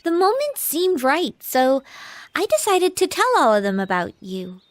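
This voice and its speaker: An actress playing a bashful, earnest tomboyish teenage girl in anime game dialogue, halting and self-conscious. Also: high-pitched, wildly swooping pitch, dry recording, full character voice. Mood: bashful, eager. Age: young adult.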